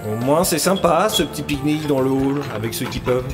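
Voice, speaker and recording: A man's voice: mid-range voice, fast, lightly acted. A man acting a wry, mocking office colleague in an amateur audio comedy. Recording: echoey hall, faint music.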